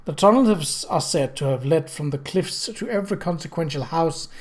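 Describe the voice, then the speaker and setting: A man voicing a brisk, tart, self-possessed older aunt in an audiobook. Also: fairly high pitch, close to an everyday voice. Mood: firm. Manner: firm, tart. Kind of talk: audiobook character voice.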